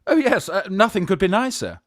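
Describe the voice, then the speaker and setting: A woman with a British accent playing a flustered young gentleman who poses as a rich old aunt in a theatrical Victorian farce. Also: medium pitch, sing-song, fairly quick, no echo, studio quality. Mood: coy, flustered. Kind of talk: Victorian stage farce, radio play acting.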